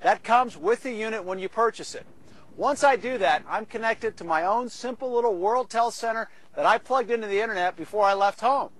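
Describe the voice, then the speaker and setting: An American man in his thirties giving an emphatic, persuasive scripted sales pitch to camera. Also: a little fast, a bit bright. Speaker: infomercial presenter. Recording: music bed behind the voice.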